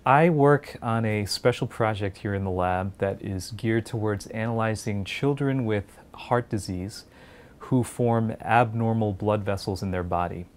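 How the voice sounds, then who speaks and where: Earnest man, lower voice, lab interview. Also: unhurried. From India, raised in New Jersey. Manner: earnest, encouraging. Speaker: pediatric clinical fellow. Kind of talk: interview talking to camera. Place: indoor research lab. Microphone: lapel mic.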